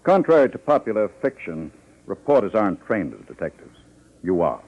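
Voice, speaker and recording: Fast-talking, guarded, wealthy newspaper boss. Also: male voice, fairly low pitch, big pitch swings. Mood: businesslike. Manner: hesitant, discreet, formal, with pauses. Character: wealthy, guarded, businesslike male newspaper publisher. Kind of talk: 1950s radio drama acting. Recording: slightly muffled old radio recording.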